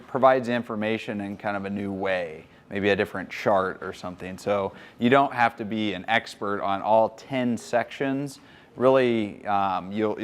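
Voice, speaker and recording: A man in his thirties giving a relaxed, chatty conference presentation in a hotel conference room. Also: fairly low pitch. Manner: relaxed, chatty explaining with fillers.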